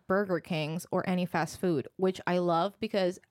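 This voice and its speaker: A woman's voice: fairly low pitch, steady tone, moderate pace, darkish tone, dry recording, clean studio sound. A young woman from Puerto Rico with a Puerto Rican accent, a podcast guest chatting casually with lots of fillers and laughs. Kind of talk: casual chat.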